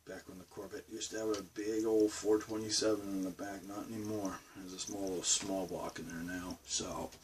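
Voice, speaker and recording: A man's voice: deep voice, flat delivery, normal speed, hardly any pauses, darkish tone, recorded from a distance, a little hiss or hum. A man with a Boston accent rambling casually to his camera from his bedroom studio. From Massachusetts, USA. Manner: rambling, meandering talk. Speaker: vlogger.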